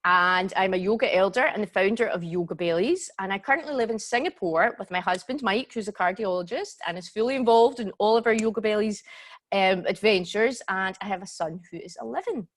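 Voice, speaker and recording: A Glaswegian woman in her forties talks through an online workshop from home over her computer mic. She is chatty and informal, often pausing to check in with her listeners. Her voice sits at an ordinary middle pitch. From Scotland. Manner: chatty, keeps checking in with "okay?" and "guys". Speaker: yoga teacher and workshop host. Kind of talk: live online workshop talk. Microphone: computer mic on a video call.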